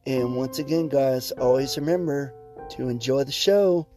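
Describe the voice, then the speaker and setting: A man's voice: mid-range voice, moderate pace, dark tone. A man hosting a podcast, introducing a show over plucked guitar music. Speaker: podcast host.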